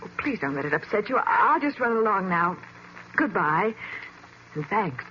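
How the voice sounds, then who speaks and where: A woman playing a tactful, quick-witted female detective's assistant with an American accent, polite and coaxing, in 1940s radio acting style. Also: medium pitch, very animated, lightly acted. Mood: gently coaxing. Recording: muffled, hissy old radio recording.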